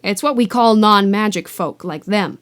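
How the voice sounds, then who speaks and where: A woman voicing a big, gruff half-giant man who blusters loudly and then turns worried. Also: mid-range voice, animated, moderate pace. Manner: blustering, loud outbursts.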